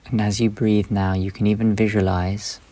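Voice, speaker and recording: A man guides a meditation in a low voice with very little melodic movement. He gives gentle step-by-step instructions in short, unhurried phrases, leaving long pauses between them. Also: flat delivery.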